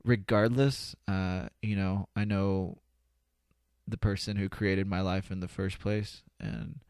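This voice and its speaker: Male podcast guest, low creaky voice, earnest and halting, casual and unpolished delivery. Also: steady tone, a little mellow, no room sound, studio quality. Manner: earnest, reflective, halting with repeated "you know". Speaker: interview guest, spoken-word poet. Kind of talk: casual, candid podcast conversation.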